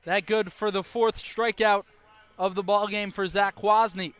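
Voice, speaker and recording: A young adult man doing live baseball play-by-play as the announcer, speaking in short clipped phrases with measured pauses. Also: sits high, fairly even intonation, a bit bright, clear, resonant tone, faint music behind. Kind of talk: live sports play-by-play commentary.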